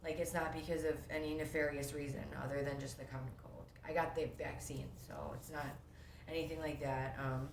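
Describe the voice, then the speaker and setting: A woman's voice: low-pitched, little pitch movement, brisk. A young woman with a sniffly cold, telling her own story in a rambling casual way at home, full of fillers and self-interruptions. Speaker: vlogger sharing her recovery story. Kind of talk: casual first-person storytelling. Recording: echoey room with faint noise.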